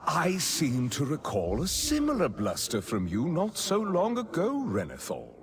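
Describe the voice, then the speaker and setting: An actor playing a grandiose, mocking vampire lord villain in a video game, pompous and silkily sardonic. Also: male voice, a little high, huge pitch swings, a little slow, a little hiss or hum, full character voice. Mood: sardonic, gloating.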